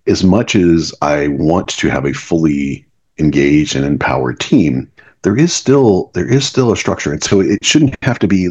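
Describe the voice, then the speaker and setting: A man's voice: deep voice, darkish tone, slightly rough, highs cut off. A middle-aged man, a business leader, talking earnestly as a podcast guest and often restarting his words. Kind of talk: reflective podcast conversation.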